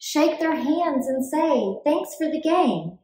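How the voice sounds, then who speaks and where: A woman reading a children's book aloud in an expressive, deliberate storytime style for young children. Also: sits high, roomy sound, rough recording. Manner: clear, deliberate, animated delivery for young children.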